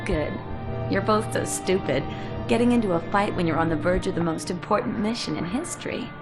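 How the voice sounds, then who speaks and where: An actress playing a bossy, sarcastic young fiancée scolding in a video game cutscene. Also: female voice, lowish voice, fairly quick, lightly acted. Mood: mock-angry. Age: young adult. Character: sharp-tongued, bossy young fiancée of a pilot. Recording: music behind the voice.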